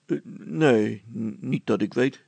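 A man's voice: medium pitch, slow, vocal fry, a little mellow, husky, dry recording, heavily acted. A man voicing a wily, grumpy workman in a storybook, blunt and prone to stammered excuses. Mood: evasive. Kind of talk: storybook character acting.

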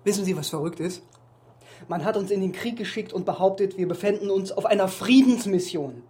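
An actor playing a bitter, defiant soldier in a radio drama, speaking with indignant, sardonic force. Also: male voice, sits high, brisk, warm tone, natural voice.

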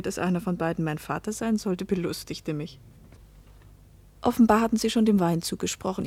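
An actress playing a young woman who narrates her own story in a radio play, reflective and measured. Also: medium pitch, a little mellow, husky.

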